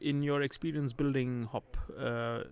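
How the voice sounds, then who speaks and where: A man's voice: mid-range voice, fairly even intonation, measured pace, darkish tone, clear, resonant tone. A man interviewing a conference speaker, hesitant with many fillers.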